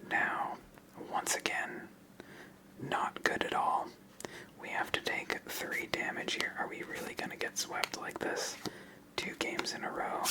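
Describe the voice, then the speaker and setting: A person whispering ASMR-style commentary as the host of a card game channel, in a soft, unvoiced manner. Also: unhurried, low-quality recording.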